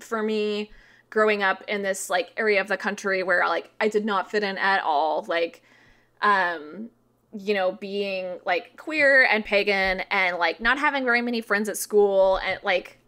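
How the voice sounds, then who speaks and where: Bright-voiced thirty-year-old woman chatting informally. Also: mid-range voice, measured pace. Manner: informal, animated explaining with frequent "like". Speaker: podcast guest, writer and podcaster. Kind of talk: casual interview chat.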